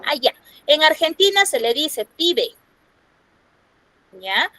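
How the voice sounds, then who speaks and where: A woman's voice: sits high, big pitch swings, takes it slowly, pushed and bright, low-quality recording. A woman from Peru teaching an online class in Peruvian Spanish, explaining step by step and often checking in with "¿no?". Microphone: computer microphone on a video call.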